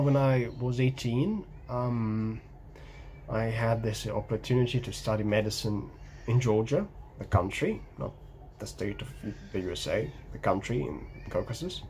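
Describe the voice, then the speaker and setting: A man's voice: mid-range voice, darkish tone. Young Egyptian man, hesitant casual vlog delivery, medium voice, slight background hiss. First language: Egyptian Arabic. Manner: hesitant, many ums and restarts, gestures while talking. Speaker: language-learning vlogger. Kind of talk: casual talking-head vlog. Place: small room at home by a window.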